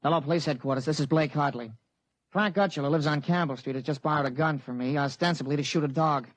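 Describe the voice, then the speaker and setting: A man's voice: on the high side, fairly even intonation, quick pace, no echo, close to an everyday voice, low-quality recording. An actor playing an urgent man making a formal, clipped report by phone in a 1950s radio drama. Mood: urgent, official. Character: boy impersonating his father, urgent adult man on phone. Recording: dry vintage 1950s radio recording.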